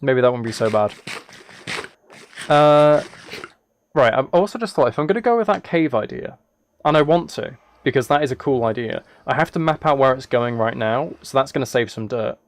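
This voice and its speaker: A British man talks casually as he streams a game. His pitch sits in the middle, and he rambles along with self-deprecating, apologetic asides. The recording is very dry and close, with no room sound. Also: animated, moderate pace, no echo. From United Kingdom. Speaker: live streamer playing a video game. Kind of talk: casual livestream chat while gaming.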